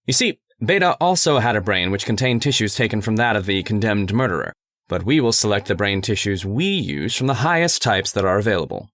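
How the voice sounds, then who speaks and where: Man playing low-voiced, stubborn scientist's assistant. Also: lively intonation, fairly quick, fairly bright tone, no room sound, studio quality. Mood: assured, soothing. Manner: earnest, articulate, controlling. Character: calm, stubborn, persuasive scientist's assistant devoted to the experiment. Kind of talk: old-time radio horror acting.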